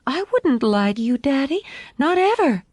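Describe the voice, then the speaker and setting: Woman voicing a high-pitched, eager little girl. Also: big pitch swings, normal speed, darkish tone, dry recording, studio quality. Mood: earnest. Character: young girl, eager, trusting, easily upset, loving daughter. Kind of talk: audiobook character voice.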